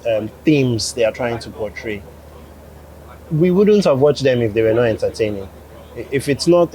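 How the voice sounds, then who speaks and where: A man with a Nigerian accent giving interview answers as a producer, explaining in a measured way and rephrasing as he goes. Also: mid-range voice, rough recording. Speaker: animation producer.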